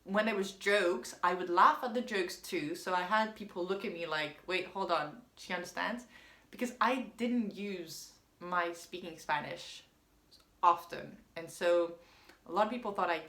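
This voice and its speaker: A woman's voice: fairly low pitch, a little slow, fairly bright tone. A woman whose first language is French, telling a casual personal story in a rambling, chatty way with frequent ums. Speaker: vlogger. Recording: roomy echo.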